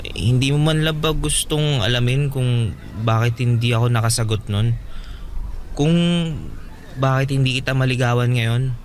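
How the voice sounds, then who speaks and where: An actor playing an earnest young man who works at a fairground, speaking with halting hesitation in a radio drama. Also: mid-range voice, steady tone, noisy background, lightly acted. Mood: hesitant.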